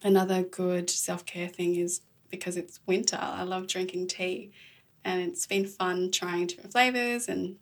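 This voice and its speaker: An earnest young woman from Australia answers interview questions in a casual, reflective way. Her voice sits low and has a gravelly fry, and she talks openly and sincerely. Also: flat delivery, measured pace. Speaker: podcast host, interviewed as guest.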